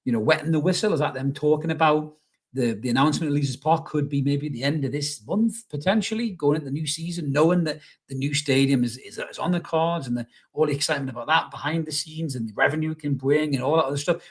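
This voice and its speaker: A husky-voiced man talks quickly at a slightly raised pitch, in the casual style of a fan channel host. He rambles chattily, throws out questions and speaks his mind bluntly. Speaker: YouTube football channel host. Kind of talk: casual opinionated fan commentary to camera.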